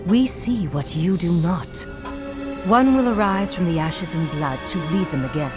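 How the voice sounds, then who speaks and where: An actor playing a proud, grave High Elf archmage in a video game, speaking formally and with command. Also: male voice, animated, measured pace. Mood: grave, foreboding. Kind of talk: video game cutscene acting. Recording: loud music underneath. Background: loud music.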